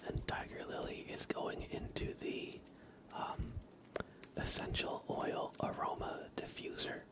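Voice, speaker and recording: A man, hosting an ASMR livestream in a gentle, unhurried way and talking directly to his chat. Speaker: livestream host. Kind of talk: ASMR livestream, whispered and soft-spoken.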